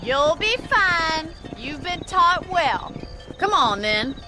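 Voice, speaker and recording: A spirited young frontier rancher, speaks very high with swooping, lively intonation. She is wry and friendly, in game-cutscene style over music. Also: slow, full character voice. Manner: playfully dramatic. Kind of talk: video game cutscene acting.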